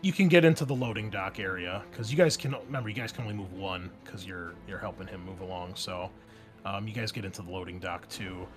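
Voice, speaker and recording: A man's voice: low-pitched, brisk, faint music behind. A man acting as game master, improvising narration and explaining rules in a conversational, wry way. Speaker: game master of a tabletop RPG.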